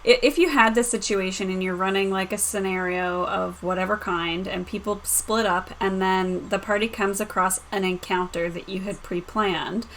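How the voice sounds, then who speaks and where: A woman's voice: mid-range voice, fairly even intonation, moderate pace, hardly any pauses, fairly bright tone, slight background noise, poor audio. A woman on a discussion panel, chatting casually as she puts a question to the others. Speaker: podcast panelist. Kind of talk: casual chat, posing questions.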